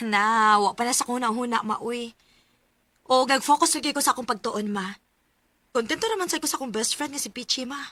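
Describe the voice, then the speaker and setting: A woman's voice: a little high, brisk, sharp tone, no echo. A woman playing a sensible, loyal young student in a radio drama, earnest and conversational, with a Cebuano accent. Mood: reassuring.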